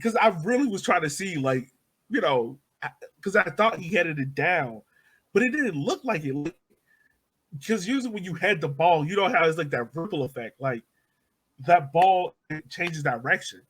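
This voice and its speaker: A man's voice: a little high, animated, normal speed, a bit bright, slightly rough. A man casually debating soccer on a podcast, insistently explaining his view step by step. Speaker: podcast host and soccer supporter.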